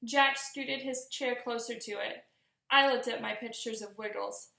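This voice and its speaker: A woman's voice: on the high side, steady tone, measured pace, creaky, sharp tone. A woman reading a storybook aloud expressively for young children, in the manner of a teacher addressing listeners. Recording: roomy echo.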